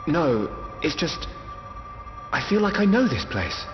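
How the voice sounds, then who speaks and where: A man's voice: on the high side, very animated, slow, long reverb tail, muffled recording, music in the background. An actor playing an earnest, thoughtful young male hero in a video game cutscene. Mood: hesitant, pensive.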